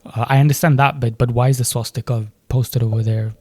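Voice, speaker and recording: Dark-voiced Indian English man reacting. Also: mid-range voice, steady tone, fairly quick, dry recording, clean studio sound. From Delhi, India. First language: Hindi. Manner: thinking aloud, questioning, hesitant. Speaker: film reaction host. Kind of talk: trailer reaction commentary.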